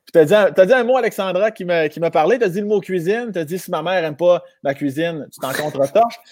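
Joking Québécois man hosting, higher voice. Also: expressive, a little fast, a little mellow, dry recording. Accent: Québécois French. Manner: joking and playful. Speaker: host of a live interview show. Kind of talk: casual interview hosting.

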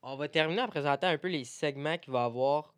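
A man's voice: fairly high pitch, quick pace, fairly bright tone, smooth. A young man with a French Canadian accent, chatting informally as a podcast co-host. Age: young adult. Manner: informal, conversational. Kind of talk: casual podcast chat.

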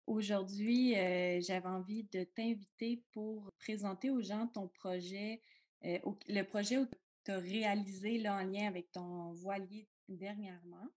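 A woman's voice: mid-range voice, fairly even intonation, a little slow, darkish tone. A woman with a Quebec French accent, hosting a podcast in a conversational, casual style. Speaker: podcast host. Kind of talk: podcast introduction and casual interview.

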